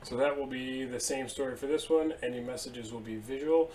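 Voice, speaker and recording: A man's voice: mid-range voice, brisk. Guy in his 30s talking thru a screen demo, easygoing, bit of faint hiss behind. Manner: casual, steady step-by-step explaining with fillers. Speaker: IT tutorial presenter. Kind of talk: screen-share software walkthrough. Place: indoor room at a desk.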